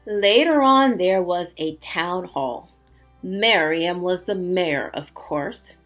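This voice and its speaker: A woman teacher reading aloud and explaining words to young children in an expressive way, with simple asides and check-in questions. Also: lowish voice, takes it slowly, faint music behind. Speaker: elementary school teacher. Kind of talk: read-aloud storytelling and word lesson for young children.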